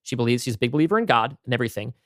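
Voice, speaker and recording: A man's voice: medium pitch, expressive, rapid-fire, a bit bright, dry recording, studio quality. A man co-hosting a podcast in casual creative discussion, emphatic and often restarting his sentences. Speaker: podcast co-host.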